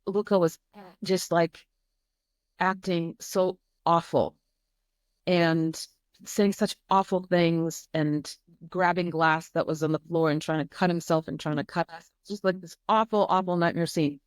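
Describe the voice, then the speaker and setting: A woman's voice: fairly low pitch, fairly even intonation, a little slow, no room sound. A woman in her forties from Croatia, a podcast guest telling her family's story candidly, earnest and emphatic, often repeating herself for weight. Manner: earnest, emphatic, leans on repetition.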